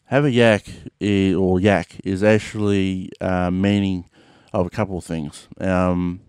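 A man's voice: low voice, steady tone, a little slow, darkish tone, clean tone, no echo, clean studio sound. A man with an Australian accent hosting his podcast in a casual monologue, rambling with lots of fillers and the odd swear word. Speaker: podcast host. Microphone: podcast mic.

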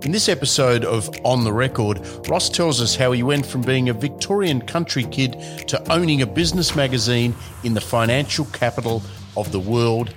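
A man, hosting an interview podcast in a measured, friendly way. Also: mid-range voice, over music. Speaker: podcast host.

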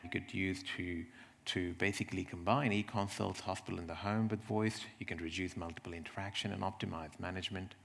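Male presenter, low creaky voice, explanatory slide talk with halting phrasing. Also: steady tone, fairly bright tone. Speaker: presenter from a health service.

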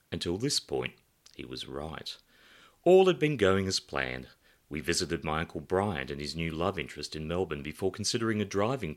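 A man reading his own novel aloud as first-person narrator, in an expressive literary style. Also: sits low.

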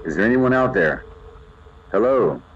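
A man's voice: fairly low pitch, expressive, moderate pace, husky. A man playing a lonely, bored survivor in an audio drama, logging his days formally over a radio. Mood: urgent, hopeful. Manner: formal radio-log delivery in short statements. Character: lonely, bored male survivor broadcasting from an underground bunker. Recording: muffled hand-held radio sound with noise.

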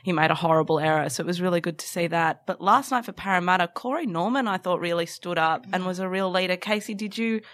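A woman's voice: fairly low pitch, quick pace, few pauses, a bit bright. Woman hosting a radio panel. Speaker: radio show host. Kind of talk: casual radio panel chat. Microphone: studio microphone.

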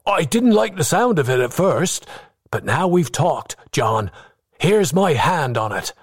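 Man playing an eager, high-pitched young sailor. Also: sing-song, unhurried, no room sound, clean studio sound, heavily acted. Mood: eager, won over. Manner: rough sailor's dialect, keen and earnest. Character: eager, admiring young male seaman, easily won over.

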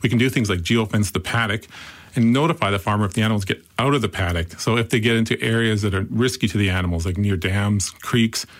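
Fast-talking Canadian man, low voice. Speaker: startup founder. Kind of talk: interview answers.

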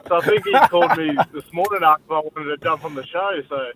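A young man from Brisbane with an Australian accent, a rugby league player chatting casually as a guest on a radio show, relaxed and modest with lots of fillers. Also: a little high, quick pace, a loud crowd, rough recording.

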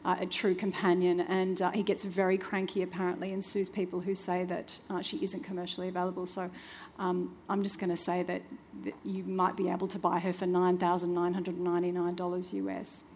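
A woman's voice: on the low side, completely flat delivery, brisk, a little mellow. A woman speaking on a discussion panel, hesitant with lots of fillers, joking lightly as she explains. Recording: reverberant hall with faint noise.

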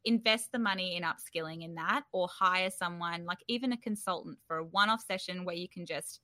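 Interview guest, woman. Forward, bright tone, very dry close sound, informal and encouraging. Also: fairly low pitch, fairly quick, no room sound. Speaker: startup founder, interview guest. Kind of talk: casual conversational interview.

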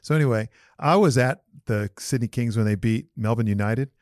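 A male podcast co-host in casual, animated sports chat, slangy and opinionated, on a close mic. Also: mid-range voice, fairly quick, no room sound.

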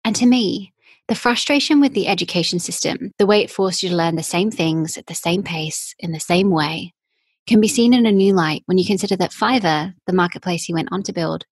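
A woman hosting a podcast, reading scripted narration in a measured way. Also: fairly low pitch, no echo. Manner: measured, clear delivery of written lines. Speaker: podcast host.

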